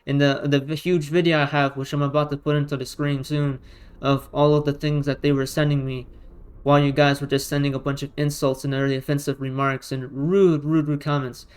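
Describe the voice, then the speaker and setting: A man with a fairly high, clean, smooth voice gives combative online commentary. He is a non-native speaker with a Romanian or Russian first language. He sounds exasperated and presses his points with emphatic rhetorical questions. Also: flat delivery, fairly quick. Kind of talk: argumentative response commentary.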